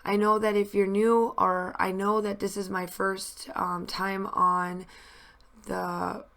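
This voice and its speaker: Chatty, bright-voiced woman crafting voice-over. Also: mid-range voice, little pitch movement, takes it slowly, vocal fry. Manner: rambling, many fillers and restarts. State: slightly groggy voice after losing it. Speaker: scrapbooking video host. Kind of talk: casual voice-over narration. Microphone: voice-over mic.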